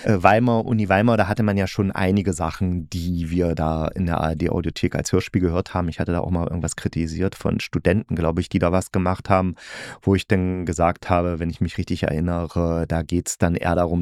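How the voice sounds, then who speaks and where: A man's voice: deep voice, level tone, brisk, a little mellow, no echo, clean studio sound. A man talking casually and in a rambling, colloquial way as a podcast host giving a review. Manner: colloquial, rambling, hedged opinions. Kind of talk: casual solo review talk.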